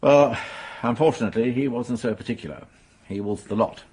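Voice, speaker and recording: Man as a husky, sober police major. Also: mid-range voice, darkish tone, lightly acted. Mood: matter-of-fact. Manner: measured, serious, matter-of-fact. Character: sober, businesslike male police major briefing colleagues. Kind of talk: radio mystery drama dialogue.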